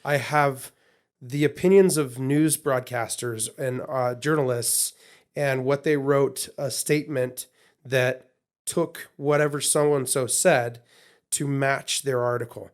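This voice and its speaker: A man co-hosting a podcast in loose, casual talk. He rambles, stumbles over his starts and repeats words as he works out his thought. His voice sits at an ordinary middle pitch. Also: steady tone, slow pace. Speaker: podcast co-host.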